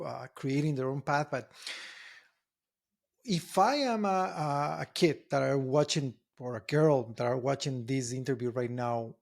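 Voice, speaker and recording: A man's voice: medium pitch, gravelly. A man from Costa Rica hosting an interview, speaking English in long flowing runs and asking questions. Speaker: interviewer, host.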